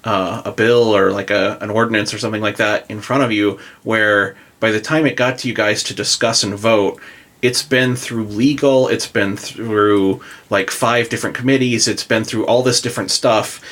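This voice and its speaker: A man's voice: lowish voice, fairly even intonation, normal speed, a bit bright. An American man hosting a podcast in a casual, conversational way. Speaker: podcast host. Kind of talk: casual chat.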